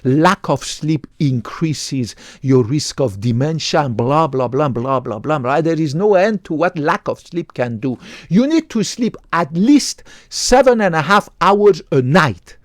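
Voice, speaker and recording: Man, doctor giving health tips, emphatic and repetitive, higher-pitched, dry close sound. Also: animated, unhurried, dry recording. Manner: emphatic, repeats commands, tags lines with "right?". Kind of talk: direct-to-camera health talk.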